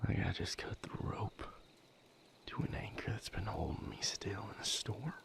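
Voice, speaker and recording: A man playing a weary, wounded vampire healer in an intimate audio roleplay, speaking in a breathy, gravelly, halting and earnest way. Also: fairly low pitch. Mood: troubled, reflective. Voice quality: breathy, gravelly, half-whispered. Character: weary, wounded male vampire healer leaving his house.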